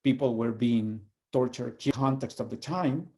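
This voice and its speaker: A man from El Salvador, giving an earnest, measured reflection and reading aloud with pauses. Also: medium pitch, darkish tone. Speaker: community organizer sharing a reflection.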